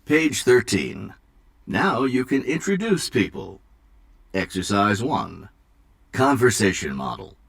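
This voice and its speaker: Guy announcing exercise titles on language course audio, super slow, lots of rise and fall. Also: fairly low pitch, sing-song, vocal fry, slightly rough. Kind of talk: scripted textbook audio narration.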